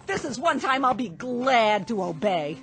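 An actress playing a sharp-tongued wife of twenty-seven years, scornful and biting in a radio drama. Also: female voice, a little high, sing-song, unhurried, gravelly, background noise, heavily acted. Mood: furious. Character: bitter sharp-tongued middle-aged wife Phyllis.

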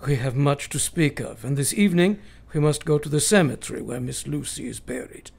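An actor playing a grave, eccentric older professor in a radio drama, with solemn, formal and quaintly phrased speech. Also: male voice, a little high, brisk, creaky, a little mellow, slightly rough. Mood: urgent, mysterious. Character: eccentric, grave, older male foreign professor and vampire hunter.

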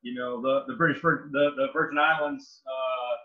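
A man in his thirties hosting an interview series over a video call, friendly and affirming with halting, repeated phrasing. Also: medium pitch, steady tone. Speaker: interview host. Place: indoor room. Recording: dull lo-fi webcam audio.

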